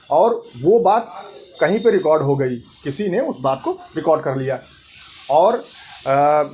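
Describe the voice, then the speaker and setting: A man explains in a deliberate way, as a channel host, often repeating and rephrasing his points. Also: fairly high pitch, a little mellow, a little hiss or hum. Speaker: host of a legal information channel. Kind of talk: explanatory legal talk to camera.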